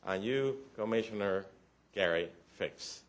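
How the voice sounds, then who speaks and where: A man's voice: fairly low pitch, measured pace. A man with a Cuban accent briefing county commissioners on recidivism data, explaining patiently with frequent restarts and light joking. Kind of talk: formal briefing. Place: county commission meeting room.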